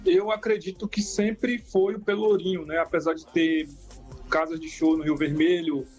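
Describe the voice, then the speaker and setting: A man from Salvador speaking, calm and reflective as he answers an interviewer. His voice sits a touch high for a man. Faint music plays underneath. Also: moderate pace. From Salvador, Brazil. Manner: reflective, frequent "né?" tags. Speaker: rapper guest.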